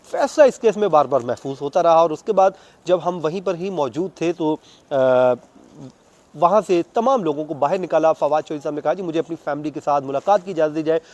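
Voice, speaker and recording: A man's voice: a little high, a little fast, darkish tone. A man with a Pakistani accent giving an unscripted, conversational news account as a court reporter, going through things step by step. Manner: conversational, detailed step-by-step recounting with English legal terms mixed in.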